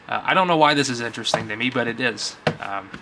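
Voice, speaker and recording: Male vlogger, bright forward voice, mid pitch, informal rambling delivery with folksy phrasing. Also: fast talker, gravelly, slight background noise. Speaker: YouTube vlogger, vintage electronics collector. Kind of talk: casual rambling vlog chat.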